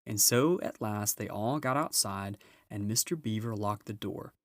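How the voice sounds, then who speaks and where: Low-voiced man reading a storybook. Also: normal speed, no room sound, clean studio sound. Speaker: storybook reader. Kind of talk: expressive scripted narration for children.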